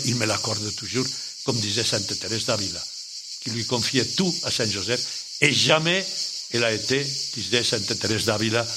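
Slow older man preaching, non-native French. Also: fairly low pitch, heavy background noise. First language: not French. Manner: slow, halting, with short phrases and pauses. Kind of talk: sermon.

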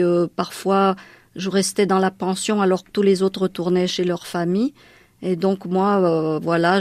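A woman's voice: mid-range voice, a little mellow, no echo. Hesitant woman from Madagascar. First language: Malagasy. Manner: hesitant, many fillers and restarts. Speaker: interviewee. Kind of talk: personal life story in an interview.

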